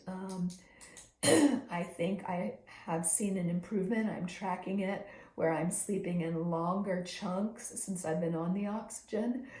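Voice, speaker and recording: A hoarse, short-of-breath woman recording a personal health video journal, speaking in a slow, measured way with frequent ums and pauses to clear her throat. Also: on the low side, dark tone, echoey room, rough recording. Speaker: video diarist.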